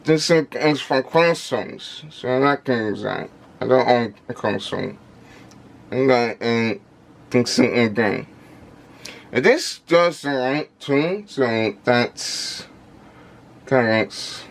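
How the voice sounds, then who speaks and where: A man giving casual unscripted product commentary in halting, repetitive fragments, with labored, indistinct articulation from a speech impediment. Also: medium pitch, vocal fry. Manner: halting, repetitive, fragmented phrasing with effortful delivery. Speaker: vlogger unboxing audio gear.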